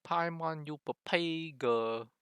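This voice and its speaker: A man doing casual live gaming commentary as a streamer, reacting in an animated, easily exasperated way. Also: a little high, slow, smooth, no echo.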